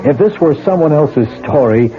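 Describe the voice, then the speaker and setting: Actor as a probing police lieutenant, somewhat high voice, tinny old radio sound with music. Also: male voice, animated, normal speed, natural voice. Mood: skeptical. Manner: probing, skeptical, commanding. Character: skeptical male police lieutenant, probing and firm. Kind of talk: 1970s radio drama acting.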